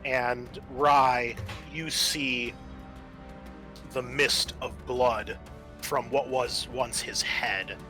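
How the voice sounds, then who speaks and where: A man working as game master, narrating a tabletop horror game in a halting, descriptive way. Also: medium pitch, steady tone, music in the background.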